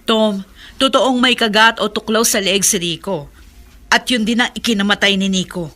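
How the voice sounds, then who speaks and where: A woman's voice: medium pitch, moderate pace, pushed and bright, lightly acted. A woman acting a skeptical, level-headed character, firm and challenging, in a Filipino horror radio drama.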